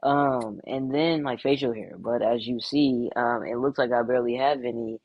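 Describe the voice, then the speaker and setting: A man's voice: mid-range voice, fairly even intonation, moderate pace, no room sound, lo-fi sound. A young man in a casual podcast chat, laid-back and frank, leaning on lots of fillers. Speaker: podcast guest.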